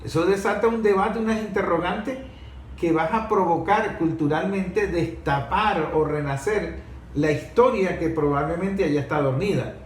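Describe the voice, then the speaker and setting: A Venezuelan man with a fairly high voice gives off-the-cuff political commentary. He is emphatic and colloquial, often posing rhetorical questions. The room is clearly echoey. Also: moderate pace. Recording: echoey room with faint noise.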